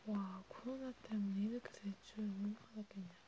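Woman doing whispery asmr chat, breathy n hushed, mellow tone, bit of steady noise behind. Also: mid-range voice, brisk. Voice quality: breathy, mostly unvoiced whisper. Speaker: ASMR roleplay creator.

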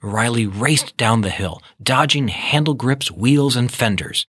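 Husky man narrating a children's storybook. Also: medium pitch, unhurried, a bit bright, dry recording, clean studio sound. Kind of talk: scripted children's read-along narration.

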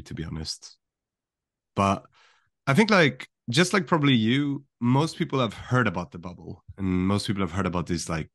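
A man's voice: sits low, fairly bright tone, no echo, studio quality. A young man who was born in Japan and raised in Sweden, talking as a podcast guest in a casual, thoughtful way full of "like" and "you know". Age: young adult. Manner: thoughtful, filler-heavy, lots of "like" and "you know". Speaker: guest, finance writer. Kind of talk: casual conversational interview.

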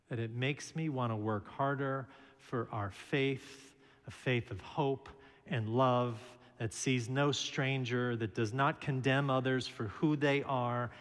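A middle-aged man preaches from a church pulpit, his mid-range voice unhurried and measured as he weaves small stories into the sermon. The pulpit mic picks him up in a large sanctuary with a long reverb trailing behind. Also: mid-range voice, a bit bright. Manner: slow, measured preaching with storytelling asides. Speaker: minister. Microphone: pulpit microphone.

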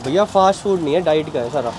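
A young man with a high voice who talks fast, politely walking a visitor through the dishes at his night food stall. He wears a clip-on mic, and faint street noise comes from around him outdoors. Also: animated. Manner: polite, explains menu items and protein counts in detail. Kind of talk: casual explaining to a food vlogger.

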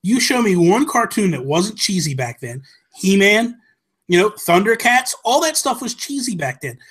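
Casting: male podcast host, thirties, slightly high voice, opinionated and emphatic, repeats points to drive them home. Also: big pitch swings, moderate pace, fairly bright tone, breathy edge. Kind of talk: animated casual chat about games and films. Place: small home room, indoors. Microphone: close desk microphone with headphones.

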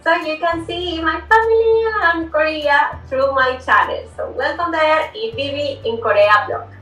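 Colombian woman, thirties, high bright voice, cheerful, distant echoey video call audio. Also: normal speed, faint music behind. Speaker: guest vlogger. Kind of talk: casual video call chat. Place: home room. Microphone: laptop webcam mic on a video call.

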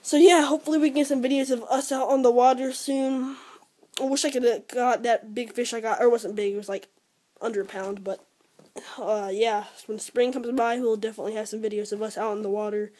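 A teenage boy with an American accent talking casually and a little haltingly as he shows things to a handheld camera at home. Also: very high voice, level tone, dark tone. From Georgia, United States. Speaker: fishing vlogger. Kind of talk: casual unscripted product showcase. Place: room at home. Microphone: handheld camera at arm's length.

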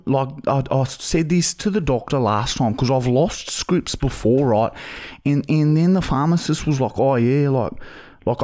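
A man playing a dodgy, pushy Australian bogan on a prank phone call, talking in halting, repetitive slang. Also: medium pitch, a little mellow, lightly acted. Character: dodgy, pushy young male bogan pestering for painkillers. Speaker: prank caller, podcast host. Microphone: close studio mic on boom arm.

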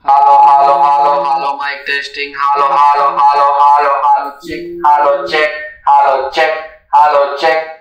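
A man's voice: a little high, monotone, measured pace, fairly bright tone, lo-fi sound. A man calling out short mic checks through a megaphone. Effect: amplified through a megaphone. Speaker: product demonstrator. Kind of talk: short repeated microphone test calls. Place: echoey showroom. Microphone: camera mic picking up megaphone. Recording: very echoey megaphone output, distant.

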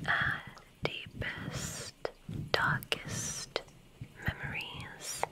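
A woman performs an ASMR roleplay as a kindly witch examiner. She speaks in a breathy whisper with almost no voice behind it. Her delivery is slow, gentle and soothing, with softly theatrical phrasing. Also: poor audio. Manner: gentle, unhurried, soothing, theatrical word choice with soft repetitions. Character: kindly witch medical examiner at a magic school.

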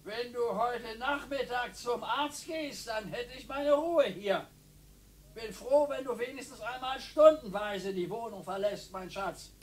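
An actor playing a grumpy, bored older retired husband who grumbles and pities himself, in a radio drama. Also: male voice, extremely high pitch, a little slow, breathy edge, heavily acted. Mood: peevish. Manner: grumbling, self-pitying, whiny.

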